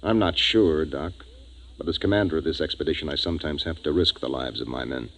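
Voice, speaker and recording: An actor playing a resolute, authoritative spaceship commander in a 1950s science fiction radio drama. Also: male voice, rumbling low. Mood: solemn. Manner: brisk, authoritative, urgent. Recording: noisy vintage 1950s radio recording.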